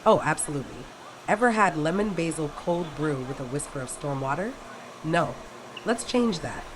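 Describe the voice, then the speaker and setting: Woman playing a low-voiced, breezy pirate. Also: lively intonation, moderate pace, noisy background. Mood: playful. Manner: casual, breezy, playful. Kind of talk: audio drama acting.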